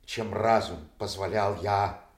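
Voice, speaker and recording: An actor playing a loyal, blunt nobleman in a classical audio tragedy, with a measured, declamatory delivery. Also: on the low side, fairly even intonation. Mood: measured, aggrieved. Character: loyal, blunt nobleman Kent, put in the stocks. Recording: echoey roomy studio recording.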